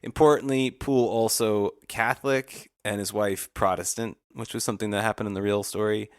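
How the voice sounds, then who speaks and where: A man's voice: fairly low pitch, fairly even intonation, brisk, no echo, clean studio sound. A man co-hosting a podcast, chatting casually about films. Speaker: podcast co-host. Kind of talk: casual film discussion.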